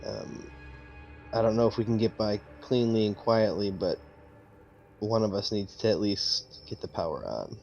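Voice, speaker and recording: A man's voice: lowish voice, moderate pace, gravelly, darkish tone, highs cut off, faint music behind. A man playing a tabletop roleplaying game, talking casually with the other players. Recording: faint background noise.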